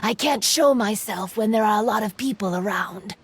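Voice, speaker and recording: A woman voicing a fading, world-weary yokai spirit in a video game, telling stories in a solemn, reflective manner with breathy phrase endings. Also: medium pitch, moderate pace, slight background noise, lightly acted. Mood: weary, defensive.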